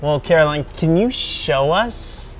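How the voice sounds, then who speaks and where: A show host leading a casual interview chat with curious, unhurried questions. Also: medium pitch, animated, slight background noise.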